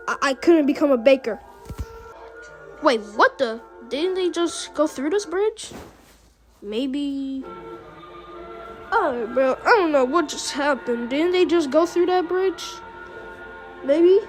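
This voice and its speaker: Female reaction host, high voice, casual rambling slangy commentary, music underneath. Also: a little mellow. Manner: informal slangy, rambling recap.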